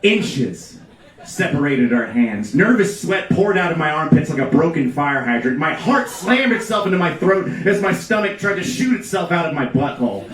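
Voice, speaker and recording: A man reading a comic story aloud at a live event, with theatrical emphasis and dramatic pauses. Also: a little high, sing-song. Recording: echoey live room, distant mic, background noise.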